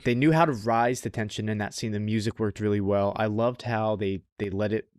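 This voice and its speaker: A man's voice: lowish voice, fairly even intonation, a little fast, a little mellow, no room sound. Man co-hosting casually, dry close sound. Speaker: podcast co-host. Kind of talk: casual anime review chat.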